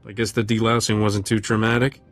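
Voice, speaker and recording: A roguish male smuggler captain from a video game, voiced by a man. His voice sits fairly low and he talks with dry, sardonic cool. Music plays underneath. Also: flat delivery, a little fast, no room sound, natural voice. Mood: wry.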